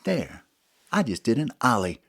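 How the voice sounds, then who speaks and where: A man voicing a calm, capable male critter who speaks softly and a bit smugly. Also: mid-range voice, moderate pace, husky.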